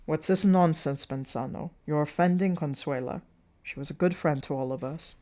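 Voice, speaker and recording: An earnest, sentimental circus manager, read by a woman in a stage drama. The voice is fatherly and lowish, with halting, heartfelt phrasing. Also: dark tone, lightly acted. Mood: reproachful.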